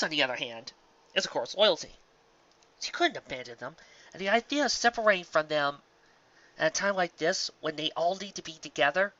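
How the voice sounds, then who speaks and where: An earnest, emphatic speaker reading fan fiction and giving commentary on it, with dramatic pauses. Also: mid-range voice, bright, forceful tone, no room sound, highs cut off. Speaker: fan fiction reader and reviewer. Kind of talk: story reading and casual review commentary.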